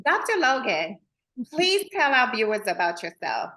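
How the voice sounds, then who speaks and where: A woman's voice: on the high side, very animated, normal speed, sharp tone, poor audio. A woman hosting a casual talk show, playful and familiar, with slangy asides to her guest. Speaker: host. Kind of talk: casual chat.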